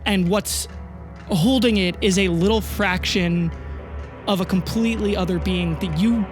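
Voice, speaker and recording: A game master with a mid-pitched voice narrates a fantasy tabletop game. The telling is dramatic yet casual and improvised, with faint music underneath. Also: unhurried. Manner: dramatic storytelling, casual and sweary.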